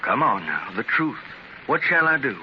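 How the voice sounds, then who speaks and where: A man's voice: mid-range voice, big pitch swings, normal speed, lightly acted. A man playing a stubborn seventy-year-old tycoon. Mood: resolved. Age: 70s. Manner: earnest, weary yet defiant. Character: aging determined male industrialist, stubborn fighter. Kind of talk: 1930s radio drama acting. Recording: dull hissy 1930s transcription disc.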